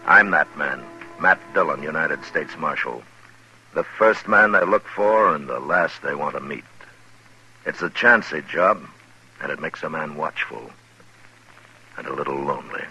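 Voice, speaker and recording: Deep-voiced, forceful Western marshal. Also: male voice, animated, measured pace, pauses often, faint music behind, exaggerated voice. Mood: lonely, watchful. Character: watchful, lonely US marshal of the Old West. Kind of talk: 1950s radio western acting. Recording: dull, hissy old radio recording.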